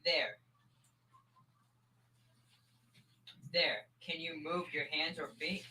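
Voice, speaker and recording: An eager, pestering young boy, played by a school-age performer in an old radio comedy. The voice is bright and insistent, with childlike plain speech. There is some noise behind it. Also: mid-range voice, fairly even intonation, measured pace, poor audio. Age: teenager.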